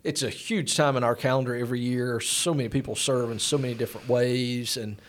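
A man's voice: mid-range voice, fairly even intonation, a little fast. A man hosting a church podcast interview, encouraging and affirming his guests.

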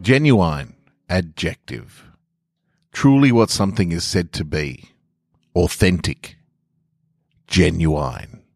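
A man's voice: low voice, expressive, slow pace, creaky, pauses often, darkish tone, no room sound, faint music behind. A man hosting a story podcast, talking to listeners and reading scripted material. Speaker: podcast host and story writer.

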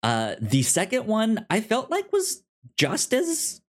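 Halting, enthusiastic male podcast co-host. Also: high-pitched, huge pitch swings. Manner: halting, repeats words, stammering starts. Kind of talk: casual movie discussion.

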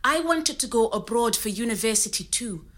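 A woman's voice: a little high, animated, normal speed, a bit bright. An actress playing a frank, spirited middle-aged Zimbabwean woman recounting her youth in an interview-style audio drama, with a Zimbabwean accent. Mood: frank, reflective.

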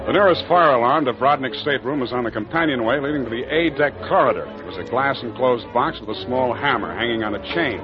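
An actor playing a wry, hard-boiled private detective, wisecracking in 1940s radio noir style. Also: male voice, mid-range voice, fast, hardly any pauses, lightly acted. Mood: wry, narrating. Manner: deadpan, wisecracking. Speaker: narrator and lead. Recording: dull old radio recording, music bed.

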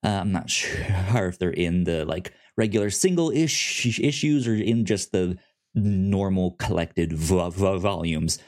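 A man co-hosting a casual podcast, his voice a little low. He talks in halting bursts, stumbling over words and restarting his sentences often. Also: a little mellow. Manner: halting, many stammered restarts. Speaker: podcast co-host. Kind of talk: casual chat about comics.

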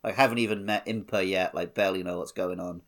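Male gaming podcast co-host with a fairly low voice, conversational and informal. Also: fairly even intonation, fast talker. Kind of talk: casual video game playalong chat.

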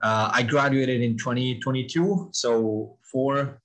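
A man from Mexico in his thirties, a native Spanish speaker, speaking as an alumni guest in a relaxed, casual way, often saying "like" and repeating words. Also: medium pitch, slow pace. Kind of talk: casual chat.

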